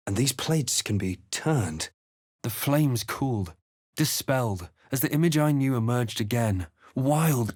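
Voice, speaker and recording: A man playing a wary, quietly wry fantasy adventurer in a video game, speaking in a measured, deliberate, dramatic way. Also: medium pitch, no room sound, clean studio sound. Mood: wry, musing. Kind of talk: video game voice lines, dramatic narration and muttered asides.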